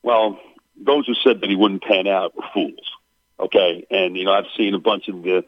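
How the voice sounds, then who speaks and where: A man's voice: sits low, steady tone, moderate pace, no echo. A man calling in as a guest to a radio sports talk show, breaking down a basketball player bluntly with lots of "uh" and "you know". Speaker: basketball play-by-play announcer guest. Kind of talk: radio sports interview, analytical. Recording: tinny phone line.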